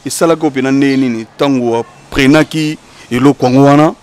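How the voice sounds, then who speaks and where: A man's voice: medium pitch, fairly even intonation, brisk, a little mellow. A man hosting a studio talk show, thanking the guest, affirming him briefly and steering the topic with questions.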